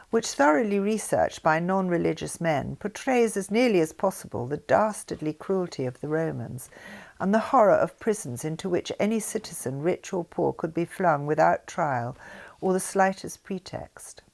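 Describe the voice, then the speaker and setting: An older woman reads a spiritual text aloud. Her voice sits fairly low and has a strong creak to it. The delivery is formal and deliberate, leaning on certain words for emphasis. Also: a little mellow. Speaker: reader of her mother's written messages. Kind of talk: reading aloud a spiritual text with brief asides.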